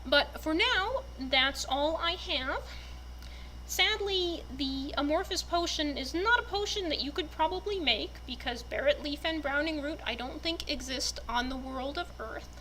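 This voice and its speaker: A woman's voice: high voice, a little slow, bright, forceful tone, a little hiss or hum, low-quality recording. A woman speaking expressively and with enthusiasm as a fan narrator, in the manner of a dramatic reader. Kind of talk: dramatic reading and casual fan commentary.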